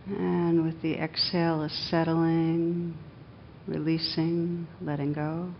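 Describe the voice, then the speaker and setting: A woman meditation teacher leading a guided meditation in a gentle, unhurried manner with long pauses, on a dull recording. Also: little pitch movement, vocal fry. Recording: dull, muffled sound with faint rumbling noise.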